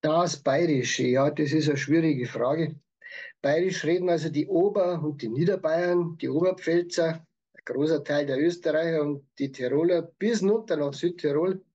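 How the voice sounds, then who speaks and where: Man, Bavarian accent, husky voice, explanatory interview answers, slightly dull lo-fi recording. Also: on the high side, normal speed, dark tone. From Bavaria, Germany. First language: Bavarian dialect. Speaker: author, interview guest.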